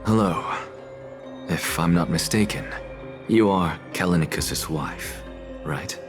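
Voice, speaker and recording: A proud, cool-headed scholar from a fantasy game. The voice is low and deep, measured and dry, with music playing clearly behind it. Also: male voice. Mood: solemn. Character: proud, sardonic male scholar, cool and composed. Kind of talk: video game voice acting.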